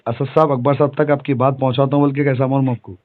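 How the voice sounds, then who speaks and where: A man's voice: mid-range voice, level tone, fast talker. A middle-aged man with a Hyderabadi accent talking on a phone call, insistent and repetitive, addressing the listener respectfully. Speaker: local political activist.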